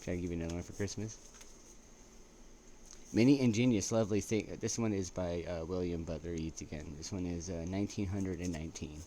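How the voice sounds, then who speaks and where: A man reading poetry aloud as an amateur, in a halting way with stumbles and restarts. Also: low voice, mellow. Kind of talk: poetry recitation with casual asides. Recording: noticeable background noise.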